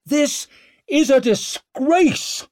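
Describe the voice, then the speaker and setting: Man acting a proud, hot-headed young nobleman, pitched up. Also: animated, extremely slow, darkish tone, no echo, clean studio sound, full character voice. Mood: indignant, shouting. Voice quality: broken, strained when raised. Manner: blunt, hot-headed, declamatory. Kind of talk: audiobook character acting.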